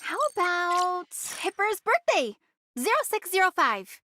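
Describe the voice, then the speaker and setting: A woman voicing a bold, mischievous young girl in an anime video game, with a perky, expressive delivery. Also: extremely high pitch, a little slow, no room sound, faint music behind, full character voice. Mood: curious, teasing.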